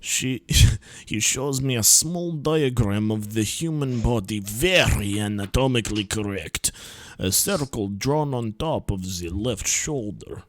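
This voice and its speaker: A young man doing a mock Russian accent as a brash Soviet-loving Russian, reading a visual novel aloud in a hammy, deadpan way. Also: on the low side, a little slow, few pauses, pitched below the performer's own voice, natural voice. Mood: scornful. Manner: theatrical, hammy, deadpan grumbling. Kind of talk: comic read-through with friends.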